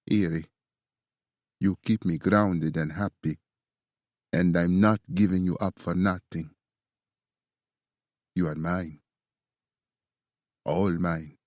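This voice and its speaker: A man voicing a remorseful, protective young male assassin with an Italian accent, speaking low and earnestly. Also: a little mellow, no echo, clean studio sound. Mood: possessive.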